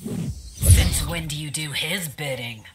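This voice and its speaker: A woman's voice: deep voice, slow, over loud music. A woman playing an arrogant young ice warrior in a video game, cold and defiant. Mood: cold defiance. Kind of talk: video game character dialogue.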